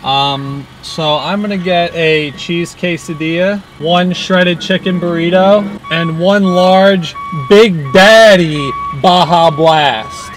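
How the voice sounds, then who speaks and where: A man's voice: sits high, slow, hardly any pauses, rough recording. A young man playing pranks as a customer in casual banter, talking from inside a car at a drive-thru. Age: young adult. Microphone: camera held by passenger in the car. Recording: car engine and outdoor noise.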